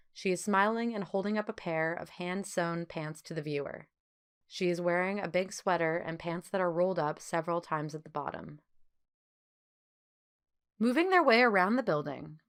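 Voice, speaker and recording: A woman reading a children's story as an audiobook narrator, with clear phrasing and expressive delivery. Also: fairly low pitch.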